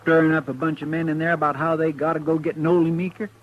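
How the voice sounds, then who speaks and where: An actor playing a loyal, folksy marshal's sidekick with a rural American accent in a 1950s radio western. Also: male voice, on the high side, flat delivery, fast talker, a little hiss or hum, close to an everyday voice. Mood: urgent. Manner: folksy, chatty, deferential. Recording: muffled vintage radio recording.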